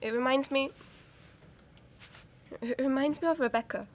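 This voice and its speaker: A woman's voice: high-pitched, a little slow. A woman acting a frail, affectionate old grandmother in an amateur stage play, hesitant and muttering. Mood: wistful. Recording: noisy live stage recording.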